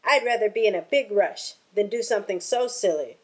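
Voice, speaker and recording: A woman's voice: medium pitch, lightly acted. A woman voicing a brusque male fox in a storybook who is always in a big rush. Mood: dismissive. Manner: hurried, brusque.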